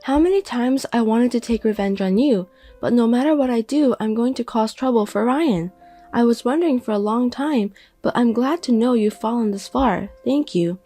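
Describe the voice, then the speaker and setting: Casting: high, mocking mother voiced by a woman, scornful, drama audio. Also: moderate pace, warm tone, soft background music, natural voice. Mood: gloating. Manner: mocking, gloating. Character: scornful mother, middle-aged, sharp-tongued.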